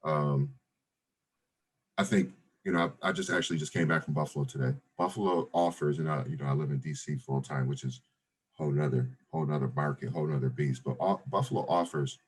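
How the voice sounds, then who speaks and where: A man around forty with a deep voice, talking casually as a guest on an interview podcast, with many fillers and restarts. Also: fairly quick, husky. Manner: laid-back, many fillers and restarts, says "brother" and "right?". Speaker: real estate investor guest.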